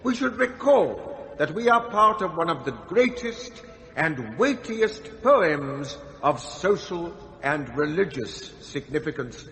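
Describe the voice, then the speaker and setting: A man's voice: on the high side, very animated, takes it slowly, gravelly, a bit bright, exaggerated voice. An actor playing a solemn, pompous clergyman delivering a declamatory sermon in a radio play. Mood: solemn, lofty. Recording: reverberant church, faint noise.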